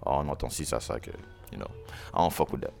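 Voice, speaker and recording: A man's voice: extremely low pitch, expressive, fast talker, creaky, darkish tone, faint music behind. A young man from Quebec, a guest in a casual podcast chat, earnestly explaining his view and checking that he is understood. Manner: earnest, explains points, asks "tu comprends?".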